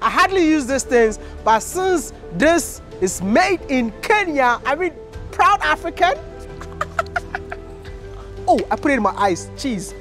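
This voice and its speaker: A young Ghanaian man hosting his travel vlog, excitable and playful, exclaiming as he goes. His voice sits high and swings widely in pitch. Faint music plays behind him. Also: takes it slowly. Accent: Ghanaian English. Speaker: vlogger host. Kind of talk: energetic travel vlog interview. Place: industrial yard and factory, indoors and out.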